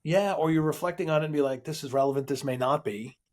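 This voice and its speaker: A man's voice: a little high, fast. A man hosting a podcast interview, casual and affirming with frequent short agreements. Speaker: podcast host.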